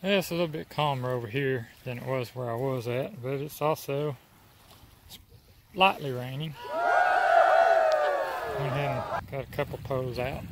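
A man's voice: medium pitch, creaky. A middle-aged man with a Texan accent, chatting casually on a fishing vlog while driving and at a lakeshore. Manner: relaxed, plain-spoken, thinking aloud. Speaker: fishing vlogger. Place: in a car and outdoors at a windy lakeshore. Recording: noisy car and wind background.

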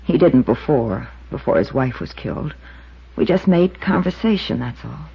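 Emotional, defensive young American waitress, breathy husky tone, vintage radio melodrama, dulled recording. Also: female voice, rumbling low, big pitch swings, normal speed, exaggerated voice. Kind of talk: 1950s radio drama acting. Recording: muffled 1950s radio recording.

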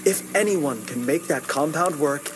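A man's voice: fairly high pitch, measured pace, over music, close to an everyday voice. An actor voicing an earnest, hopeful young man, a polite inventor in a video game. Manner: earnest, deferential, persuasive. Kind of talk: video game cutscene acting.

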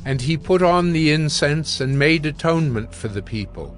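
A man narrating scripture from a script for an audio drama, measured and solemn. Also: a little high, lively intonation. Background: music.

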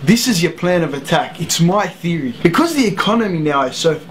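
A man's voice: on the high side, animated, a little fast, slight background noise. A man in his twenties with an Australian accent, telling a sweary, exasperated comic story to camera. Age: 20s. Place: small home office, indoors.

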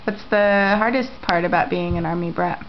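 A woman interviewing family members at home, repeating their answers back and asking gentle questions. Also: on the low side, moderate pace, soft background music. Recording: lo-fi home camera audio.

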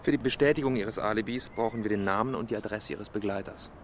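An actor as low-voiced, laconic male police inspector. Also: quick pace, slight background noise, close to an everyday voice. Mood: formal, probing. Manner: laconic, blunt. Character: male senior police inspector, terse, brooding, sceptical. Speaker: actor in a radio crime drama. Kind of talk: naturalistic German radio play acting.

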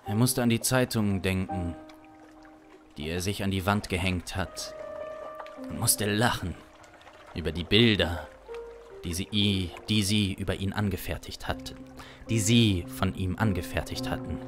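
Man in his thirties, very slow, deliberate dramatic storytelling, long pauses, studio mic, music behind. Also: sits low. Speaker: improv audio drama performer. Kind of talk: improvised dramatic narration. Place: small indoor studio. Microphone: close studio microphone with pop filter. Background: musical backing.

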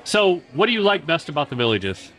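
An older man co-hosting a casual chat on a restaurant patio, reacting quickly. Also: a little high, very animated, fairly bright tone. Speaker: co-host.

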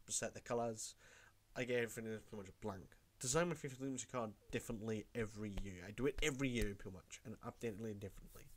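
A young man with a cold, rambling through a casual tutorial vlog from his room at home. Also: mid-range voice. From Wales. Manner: rambling, repeats himself. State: has a cold. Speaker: YouTuber.

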